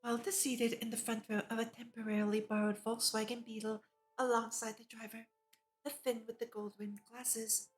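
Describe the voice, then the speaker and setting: A woman with a fairly high voice reads a visual novel on a livestream. She shifts between narrating the text and chatting about it like an eager fan. Faint music plays behind her. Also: level tone, normal speed, poor audio. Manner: enthusiastic, giggly fan reactions between read passages. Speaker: VTuber streamer. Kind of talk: reading a visual novel aloud with casual live commentary.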